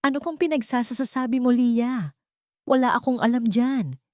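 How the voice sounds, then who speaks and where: This is a woman playing a secretive husband in a story drama, short-tempered and defensive. The tone is dark and mellow, recorded very dry. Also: fairly high pitch, normal speed, no room sound, voice pushed up, lightly acted. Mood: angry denial.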